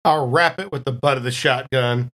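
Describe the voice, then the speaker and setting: A woman's voice: extremely low pitch, moderate pace, fairly bright tone. A woman chatting casually as a player in a tabletop role-playing game.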